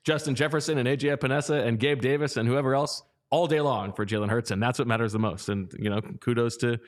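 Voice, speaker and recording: A man's voice: medium pitch, quick pace. A man co-hosting a sports podcast, talking conversationally with plenty of fillers and pushing back on his co-host. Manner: conversational, lots of fillers, sharp pushback. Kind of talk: casual sports chat.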